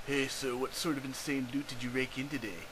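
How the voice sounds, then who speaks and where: A cool, slangy teenage boy acted out by a man in a webcomic reading. He is teasing and casually crude, with a middling pitch. The recording is clearly noisy. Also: steady tone, a little fast, natural voice. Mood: casual disbelief.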